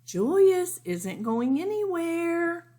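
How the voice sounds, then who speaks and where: A woman's voice: very high voice, sing-song, takes it slowly, warm tone, pitched above the performer's own voice, heavily acted. A woman voicing a doting, patient storybook mother in a gushing, emphatic way. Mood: firm. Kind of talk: storybook read-aloud.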